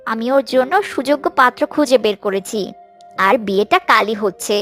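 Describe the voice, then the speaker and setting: Actress as cartoon villain stepmother, high voice, grumbling, sharp-tongued, scheming, melodramatic, soft music behind. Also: a little fast, lightly acted. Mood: scolding, domineering. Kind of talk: melodramatic Bengali cartoon dubbing.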